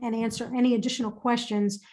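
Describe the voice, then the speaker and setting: Woman, high voice, upbeat, emphatic, webinar presenter, career advice Q&A. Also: flat delivery, fast talker, darkish tone. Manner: upbeat, emphatic, repeats phrases for stress.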